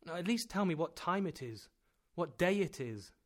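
A man voicing an excitable, inquisitive young nephew in a storybook, eager and questioning. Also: on the high side, normal speed, voice pushed up.

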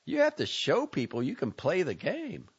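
A man reading as an impatient, sharp-tongued young man who lectures his friend in a raised, loud voice. His pitch leaps about wildly from line to line, and the lo-fi recording has a gravelly, dull edge. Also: huge pitch swings, normal speed, heavily acted. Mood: exasperated.

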